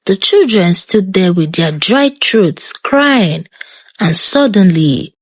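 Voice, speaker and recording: A woman with a Nigerian accent narrating a scripted folktale in a dramatic, expressive manner. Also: mid-range voice, slow pace, husky, no echo. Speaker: storyteller.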